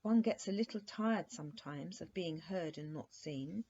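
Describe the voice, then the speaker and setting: A woman's voice: on the low side, normal speed, mellow, slightly rough. A woman voicing a courteous, wistful young prince in a storybook, polite and a little plaintive. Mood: politely earnest. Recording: dull, muffled lo-fi recording.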